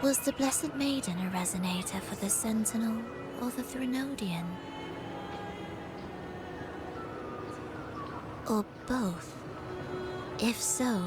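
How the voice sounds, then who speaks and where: Woman as scheming game villainess, soft breathy voice, very measured pace, aloof, theatrical, loud music. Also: mid-range voice, close to an everyday voice. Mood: intrigued. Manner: measured, theatrical, coolly teasing. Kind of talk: video game voice acting.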